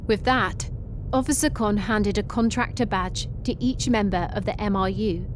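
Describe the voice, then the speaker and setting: A woman giving even, measured scripted narration for an animated sci-fi story. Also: medium pitch, background noise.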